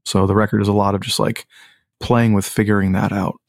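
A man with a low voice, a musician guest talking in a laid-back, rambling way with many fillers. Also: level tone, a little fast, gravelly, dry recording, clean studio sound. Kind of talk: casual podcast chat.

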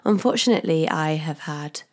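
A woman hosting her own podcast as a career coach, talking in a chatty, upbeat way straight to the listener and laughing often, her voice still slightly croaky from a cold. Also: low voice, expressive, normal speed, a little mellow. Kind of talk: casual solo podcast monologue.

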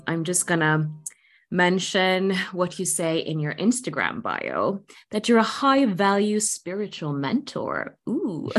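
Woman, podcast host, casual chat, gushing, laughs a lot. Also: lowish voice. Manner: gushing, chatty, laughs often.

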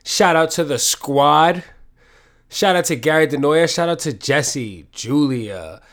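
A man's voice: a little high, takes it slowly, a bit bright. A man hosting a casual solo podcast from his apartment while sick with a cold, sniffly and rambling, with plenty of swearing. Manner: rambling, profane, self-deprecating. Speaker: podcast host. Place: home apartment.

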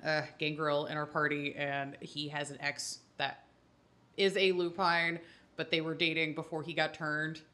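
A woman's voice: deep voice, little pitch movement, unhurried, bright, forceful tone. A Scottish woman co-hosting a casual explanatory chat about a tabletop game, talking in an irreverent, sweary, jokey way. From Scotland. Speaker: podcast co-host leading the discussion.

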